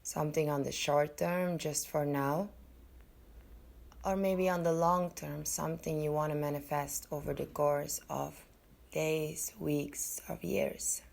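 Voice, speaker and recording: Very slow, low-pitched woman guiding meditation. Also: steady tone, creaky, a little mellow. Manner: soothing, unhurried, with long pauses between short phrases. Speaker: podcast host and yoga nidra guide.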